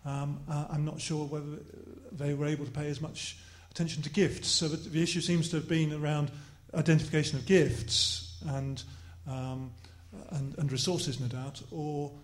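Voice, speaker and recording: A man at a church conference describing local practice hesitantly, with many pauses. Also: on the high side, flat delivery. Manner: hesitant, reflective. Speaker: conference delegate.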